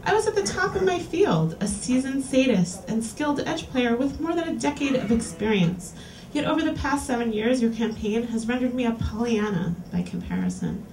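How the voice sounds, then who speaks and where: Brief: woman, higher voice, reading aloud to a bar crowd, picked up from across a roomy space. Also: big pitch swings, a little mellow, slight background noise. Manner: clear, deliberate reading-aloud delivery. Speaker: reading series host. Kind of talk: live reading of erotica to an audience. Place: small lounge bar in front of an audience. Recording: roomy, distant live capture.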